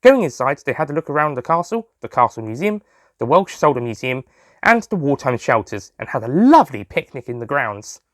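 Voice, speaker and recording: A man's voice: mid-range voice, very animated, fairly quick, no echo, clean studio sound. A man reading scripted story narration. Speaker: writer and narrator.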